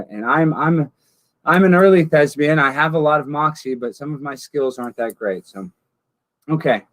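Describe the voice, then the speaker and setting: A man's voice: high-pitched, fairly bright tone, no room sound. A man hosting a livestream, chatting with his viewers in a goofy, rambling way. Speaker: livestream host. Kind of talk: casual livestream chat.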